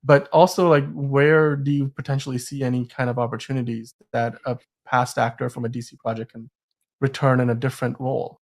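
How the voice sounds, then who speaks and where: A man's voice: medium pitch, level tone, a little fast. Man hosting a casual movie podcast. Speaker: podcast host.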